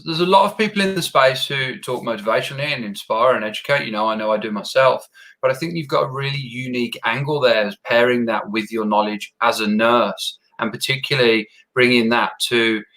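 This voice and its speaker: A man's voice: medium pitch, poor audio. A man hosting a podcast interview, talking in long, rambling sentences full of restarts. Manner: rambling, warm praise, many restarts. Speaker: podcast host.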